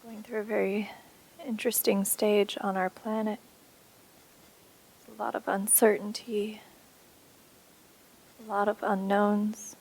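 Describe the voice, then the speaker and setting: A woman instructor leading a guided meditation in a soothing, unhurried way with pauses. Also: medium pitch, level tone, creaky, a little mellow, slightly rough, poor audio.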